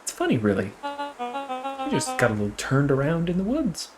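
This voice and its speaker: A man acts out a protective, friendly adult townsperson from a story game. The folksy delivery swings widely in pitch, with clear music behind it. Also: wildly swooping pitch, a little fast. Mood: friendly, concerned. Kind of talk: video game character dialogue read aloud.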